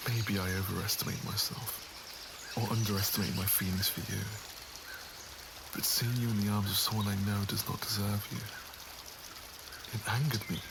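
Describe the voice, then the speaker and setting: A man's voice: on the low side, little pitch movement, normal speed, gravelly, long pauses. A man playing an earnest, lovesick nobleman in an ASMR roleplay, with formal, wounded phrasing. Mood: tender confession. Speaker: voice actor in an audio roleplay. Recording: audible water and outdoor ambience noise.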